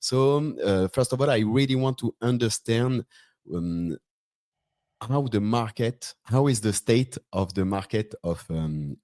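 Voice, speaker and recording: A man hosting a podcast interview, speaking English with a French accent and often pausing to find his words. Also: fairly low pitch, lively intonation, darkish tone, no echo, clean studio sound. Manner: hesitant, searching for English words. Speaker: podcast host. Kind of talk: interview intro and questions.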